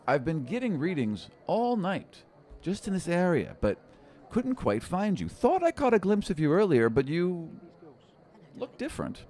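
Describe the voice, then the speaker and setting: Man putting on a chipper, high-pitched little halfling seeker. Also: wildly swooping pitch, a little slow, darkish tone, heavily acted. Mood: playful, cryptic. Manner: jaunty, teasing, theatrical. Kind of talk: tabletop role-play.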